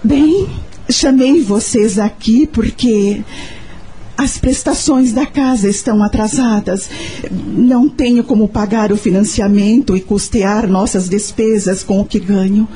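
An actress playing an anguished, devoted young mother in a radio soap opera, speaking with earnest emotion and a husky voice. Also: medium pitch, a little slow, warm tone, a little hiss or hum, natural voice. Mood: earnest, determined.

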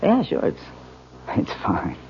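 An actor playing an attentive, affectionate newlywed husband in a 1970s radio drama, coaxing and conversational. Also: male voice, slow, close to an everyday voice. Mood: affectionate, eager. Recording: dull, noisy 1970s radio recording.

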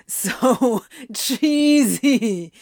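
A woman's voice: medium pitch, expressive, very slow, creaky, breathy edge, dry recording, full character voice. An exasperated yet smitten male demon from an audio story, performed by a woman. He protests breathily and dramatically, drawing out his words. The sound is dry. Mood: amused horror.

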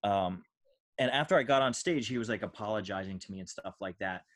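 A man's voice: lowish voice, brisk, fairly bright tone. An American man, a stand-up comedian, telling anecdotes casually in a rambling, self-deprecating way. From United States.

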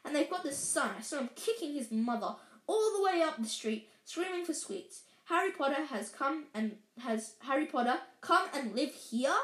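A woman's voice: high-pitched, expressive, normal speed. A woman voicing a stern, anxious elderly woman professor who stammers and restarts in tearful, breathless outbursts. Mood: indignant. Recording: roomy echo.

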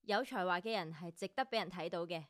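A woman plays a savvy radio director in a Hong Kong Cantonese audio drama. Her voice is bright and very clear, recorded close and dry, and she talks in a brisk, self-assured, professional way. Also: lowish voice, no room sound, lightly acted. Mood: impressed, persuasive. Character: savvy, confident female radio director and interviewer.

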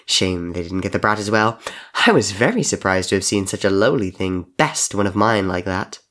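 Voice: medium pitch, expressive, brisk, vocal fry. A performer voicing a cruel, vengeful monster king in a story, with a drawling, hissing, sneering manner. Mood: contemptuous.

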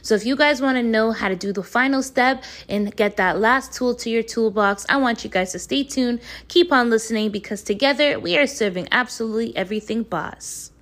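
A woman hosting a podcast, her voice hoarse from losing it, talking in a hyped, slangy way straight to her listeners. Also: fairly high pitch, brisk, few pauses, fairly bright tone, a little hiss or hum. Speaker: podcast host. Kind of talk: casual podcast chat.

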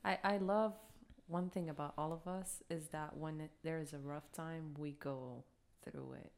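Earnest young woman, slightly low voice. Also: fairly even intonation, a little slow. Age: 20s. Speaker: podcast co-host. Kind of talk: casual chat among friends.

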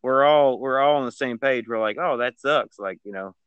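Laid-back male podcast host, mid-pitched, dry close sound, stammers and repeats words. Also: normal speed, clean tone, no echo, highs cut off. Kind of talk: casual chat.

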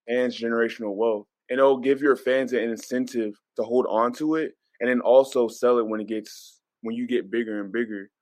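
Male podcast panelist, casual and slangy, mid-pitched, very dry recording. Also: no room sound. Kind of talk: casual chat.

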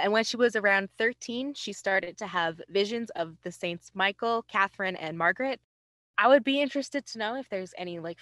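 A woman podcast host with a medium-pitched voice, recorded dry and close. She tells history like casual conversation, informal and self-deprecating, slipping in wry asides. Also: fairly bright tone, no room sound.

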